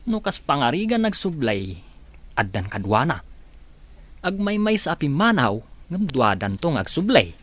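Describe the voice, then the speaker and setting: A man's voice: a little high, big pitch swings, measured pace, long pauses, a bit bright, heavily acted. A man playing a deferential, kindly and scheming household servant in an Ilocano radio comedy-drama. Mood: matter-of-fact.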